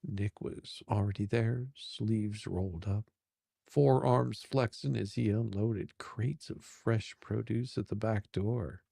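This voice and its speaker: A man's voice: fairly low pitch, fairly even intonation, slow pace, gravelly, dark tone, dry recording, clean studio sound. A man narrating a steamy romance story as a dramatic reading.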